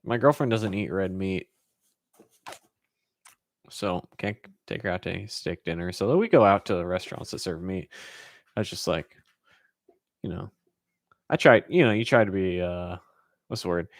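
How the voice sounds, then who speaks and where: A man's voice: sits low, moderate pace, pauses often, no echo, clean studio sound. An American man from California hosting a casual Q&A, talking informally and rambling as he thinks aloud. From California, United States. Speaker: host answering viewer questions. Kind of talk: casual Q&A chat.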